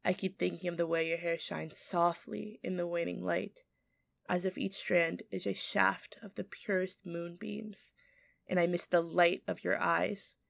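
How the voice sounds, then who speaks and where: A woman playing an adoring secret admirer, reciting a poetic love letter in measured ASMR roleplay style. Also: on the low side, steady tone, vocal fry, no room sound. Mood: tender, adoring.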